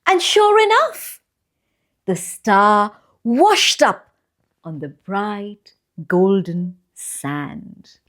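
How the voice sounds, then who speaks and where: A woman reading a children's bedtime story aloud, with expressive, deliberate phrasing and drawn-out pauses for young listeners. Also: mid-range voice. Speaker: children's storyteller and channel host.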